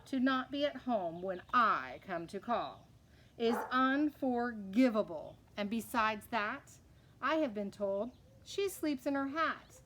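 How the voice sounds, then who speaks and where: A woman's voice: on the high side, lively intonation, takes it slowly, a bit bright, a little hiss or hum, voice pushed up. A woman voicing a haughty, bossy mayor's wife from a storybook, scolding and disapproving. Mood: indignant. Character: haughty, indignant small-town mayor's wife, bossy complainer.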